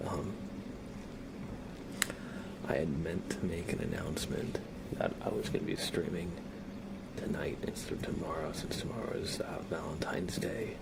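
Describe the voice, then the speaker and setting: A man speaking in a soft, gravelly whisper, chatting casually and gently as an ASMR live streamer greeting viewers. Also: sits low, steady tone, moderate pace, long pauses, very noisy. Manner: gentle, rambling chat with viewers and pet. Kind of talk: ASMR livestream casual chat.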